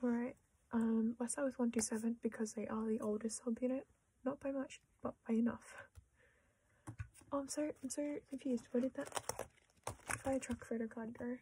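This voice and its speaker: A young Australian woman chatting quietly to camera while sorting her collection, often dropping into a half-whisper and poking fun at herself. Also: a little high, husky, low-quality recording. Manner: soft-spoken, often half-whispering, self-deprecating. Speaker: collecting vlogger. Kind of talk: casual chat while sorting cards.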